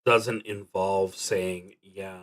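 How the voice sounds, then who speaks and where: A man's voice: low voice, steady tone, fairly bright tone. A man streaming a solo tabletop roleplaying game, thinking aloud in a hesitant, musing, unscripted way. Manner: hesitant, musing, halting delivery.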